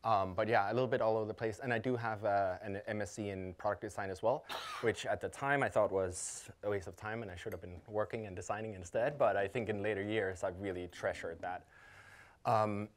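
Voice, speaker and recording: A man in his thirties from Denmark, speaking English as a second language, gives a conference talk. His voice sits very low, and he talks in a loose, casual way, full of ums and self-corrections. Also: fairly even intonation, fairly quick. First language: Danish. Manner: casual, many fillers and restarts. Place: conference hall.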